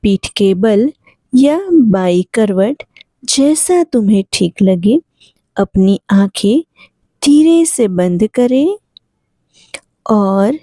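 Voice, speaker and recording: A woman narrates a guided relaxation for sleep. Her voice is warm and relaxed in tone, and she speaks at a remarkably slow pace, pausing often between short, soothing phrases. The sound is very dry, with no room around the voice. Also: a little high, no room sound. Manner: soothing, gentle, unhurried with long pauses. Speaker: guided meditation instructor. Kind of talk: guided sleep meditation, yoga nidra narration.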